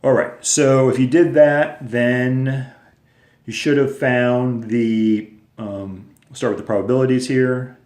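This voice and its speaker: A man's voice: mid-range voice, fairly even intonation, takes it slowly, a little mellow. A man teaching a recorded lesson, explaining patiently step by step with casual fillers. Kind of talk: recorded lecture video. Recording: echoey room.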